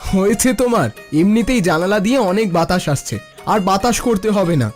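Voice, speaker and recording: A man's voice: high-pitched, expressive, moderate pace, darkish tone. A man voicing a breezy, self-important young son back from the city in a cartoon. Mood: mildly impatient. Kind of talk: Bengali cartoon voice acting. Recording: music bed behind the voice.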